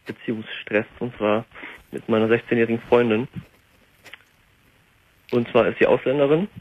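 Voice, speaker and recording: A man's voice: mid-range voice, steady tone, moderate pace, slightly rough. An eighteen-year-old man calling in to a late-night talk show, speaking hesitantly with many fillers. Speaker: caller. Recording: tinny phone line.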